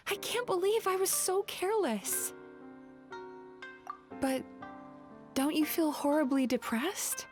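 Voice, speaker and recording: High-voiced gentle young yokai woman. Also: lively intonation, slow, pauses often, faint music behind. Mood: apologetic, dismayed. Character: gentle young dream-eating yokai psychologist, polite and apologetic. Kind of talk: video game voice acting.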